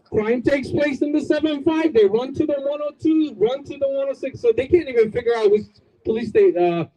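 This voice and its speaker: A man's voice: extremely high pitch, brisk, mellow, poor audio. A man from Bangladesh giving an emphatic outdoor community speech, rallying the crowd with repetition and jokes. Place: outdoors at a street corner.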